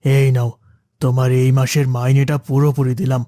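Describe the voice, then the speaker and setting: Mellow, kindly male employer played by a man. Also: mid-range voice, level tone, normal speed, no room sound, studio quality, lightly acted. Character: kindly, considerate male garden owner and employer. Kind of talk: animated Islamic moral story voice acting.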